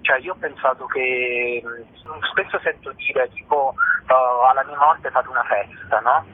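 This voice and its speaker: A man, speaking reflectively as an interview guest, with halting phrasing and searching pauses. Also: mid-range voice, slight background noise. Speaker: guest, singer and stage performer. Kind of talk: spontaneous radio interview. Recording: muffled phone line into radio.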